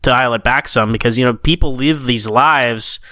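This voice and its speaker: A man's voice: medium pitch, normal speed, sharp tone, no echo, clean studio sound. A man co-hosting a podcast in casual chat, informal and given to acting out little quotes as he reviews stories. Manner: informal, peppers talk with "like" and mimicked quotes. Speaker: podcast co-host.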